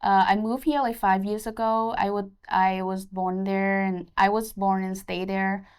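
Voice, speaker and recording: A woman's voice: mid-range voice, little pitch movement, unhurried, darkish tone, smooth. A woman in her twenties from Vietnam, speaking English with a Vietnamese accent as a pageant candidate, a little nervous but eager. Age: 20s.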